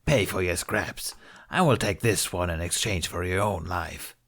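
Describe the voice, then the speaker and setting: A man's voice: low-pitched, unhurried, a bit bright, heavily acted. A man voicing a gigantic female alien slug crime lord in an audiobook, imperious and taunting.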